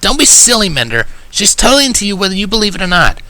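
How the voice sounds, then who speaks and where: A man's voice: high-pitched, very animated, quick pace, bright, forceful tone, dry recording, pitched above the performer's own voice, full character voice. A man voicing a brash, teasing young female pegasus pony in a fan fiction reading, blunt and casual.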